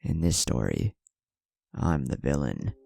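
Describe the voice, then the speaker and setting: A man's voice: extremely low pitch, big pitch swings, takes it slowly, vocal fry, mellow, husky, full character voice. A man voicing a cocky, cunning, taunting young ninja in a narrated fan story. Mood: smug menace.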